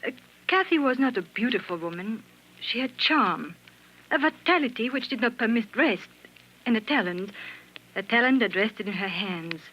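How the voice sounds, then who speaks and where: An actress playing a wistful dressmaker in a measured, sorrowful, theatrical 1940s radio drama style. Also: female voice, on the high side, lively intonation, natural voice. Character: wistful dressmaker Marie, telling a tragic story. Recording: noisy, dull old 1940s radio recording.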